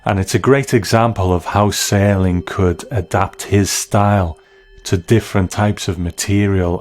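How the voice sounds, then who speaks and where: A man hosting a scripted episode commentary, speaking in a measured, appreciative way. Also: low-pitched, a little mellow. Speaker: host of a TV episode commentary show.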